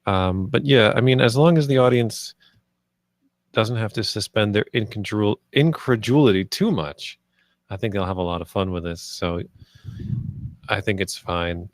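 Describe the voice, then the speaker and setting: A man with a fairly low voice speaks in a very dry acoustic. He gives casual critique, halting now and then to search for words. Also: no room sound. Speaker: table read participant giving script feedback.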